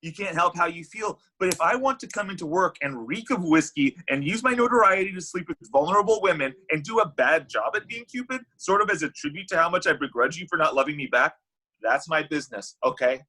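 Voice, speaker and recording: A man's voice: fairly high pitch, animated, fairly quick, bright, forceful tone, slightly rough, full character voice, poor audio. A man reading the part of a flippant, evasive, bitter thirty-year-old Cupid at a comedy table read. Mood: bitter, sarcastic.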